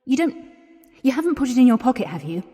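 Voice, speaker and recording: A woman's voice: sits high, very animated, fast talker, dark tone, breathy edge, exaggerated voice. An actress playing an exasperated, bossy young woman of the 1920s in a radio play, with a prim English accent. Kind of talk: radio theatre acting. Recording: long echoing hall, music behind.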